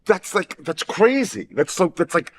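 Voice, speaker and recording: A middle-aged man from Queens, co-hosting casually and excitably, repeating words for emphasis. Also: on the high side. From Queens, New York. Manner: excitable, halting repetitions for emphasis. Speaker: talk show co-host. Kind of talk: casual chat.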